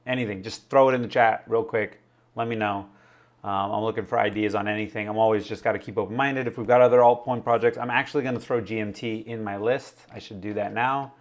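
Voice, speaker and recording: A man casually hosting a livestream, rambling, laughing often and reading out viewer chat, his voice a little raspy. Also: on the low side, steady tone, fast. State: slightly raspy voice. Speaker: livestream host.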